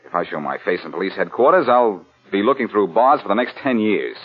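An actor playing a wry, quick-witted, confident rogue detective in an American radio crime drama of the 1940s. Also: male voice, fairly low pitch, sing-song, slightly rough. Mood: scheming. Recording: muffled old radio recording.

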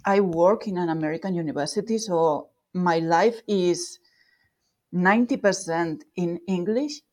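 A woman's voice: on the low side, a little slow, darkish tone. Spanish-accented woman explaining research. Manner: thoughtful, with fillers and self-corrections. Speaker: guest researcher. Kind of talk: explanatory interview talk.

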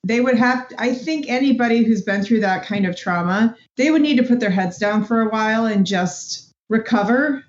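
Thoughtful woman in an echoey room. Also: on the high side. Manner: thoughtful, measured reasoning. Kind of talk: casual panel discussion. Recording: echoey room, dull lo-fi audio.

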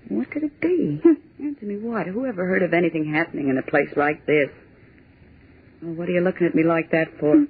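A woman's voice: fairly low pitch, husky. An actress playing a brash, sarcastic young woman typist in a 1940s radio drama, with a scoffing, bossy way of talking. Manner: scoffing, bossy, quick retorts. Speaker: office typist. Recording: tinny 1940s radio broadcast.

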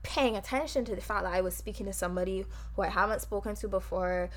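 A woman with a Barbadian accent co-hosting a podcast, talking fast and emphatically in casual chat. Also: medium pitch. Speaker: podcast co-host.

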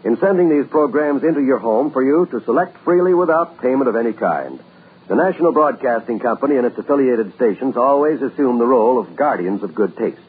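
A man's voice: medium pitch, lively intonation, a little fast, slightly rough. A man making a formal network announcement in a stately, earnest manner. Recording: tinny old radio broadcast.

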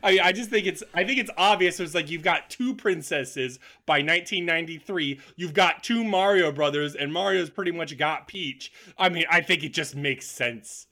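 Bright, higher-pitched man, emphatic and slangy. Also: normal speed, hardly any pauses. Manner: emphatic, slangy, swears freely. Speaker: podcast co-host. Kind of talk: casual opinionated film discussion.

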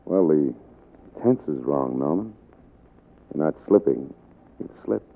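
A deep-voiced film producer, frank but kindly. He picks his words haltingly and deliberately in the style of 1940s radio drama, on a muffled, narrow old broadcast recording. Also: lively intonation, creaky, heavily acted. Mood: reluctant, grave.

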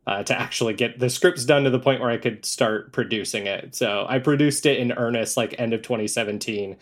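A man with a mid-pitched voice is being interviewed on a podcast. He tells anecdotes in a casual, conversational way and slips into quoted dialogue to act out what people said. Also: fairly quick. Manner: animated anecdotes, acting out reported dialogue. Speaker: podcast guest, audio drama creator.

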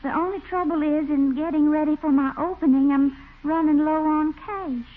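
An actress playing a coy, chatty, enterprising Southern belle in a 1940s radio comedy. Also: female voice, sits high, steady tone, moderate pace, a little hiss or hum, close to an everyday voice. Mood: sweetly persuasive. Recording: tinny 1940s radio recording.

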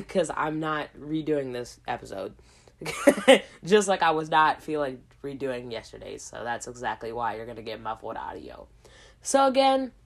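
A woman hosting a casual solo podcast, hoarse from a cold, rambling in a slangy way with lots of asides. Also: low voice. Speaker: podcast host.

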